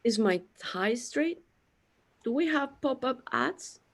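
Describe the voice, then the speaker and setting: A woman's voice: high-pitched, lively intonation, takes it slowly, gravelly, full character voice. A woman playing a bewildered, peevish immortal being who has lost her memory, in a questioning manner for a scripted audio drama. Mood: puzzled. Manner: questioning, puzzled.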